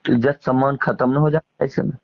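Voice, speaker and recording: A man's voice: mid-range voice, flat delivery, a little fast, creaky, highs cut off. A man with a Bihari accent speaking casually as an interviewee, emphatically airing grievances in colloquial, repetitive phrasing. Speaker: interviewee, singer and stage performer.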